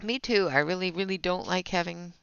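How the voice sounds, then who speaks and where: A woman's voice: fairly low pitch, brisk, vocal fry, fairly bright tone, clean tone, dry recording. A woman hosting a casual live coloring tutorial, chatting with viewers and talking through each step, sounding stuffy and sniffly with a sinus infection. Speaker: livestream art host. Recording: dull lo-fi, dry sound.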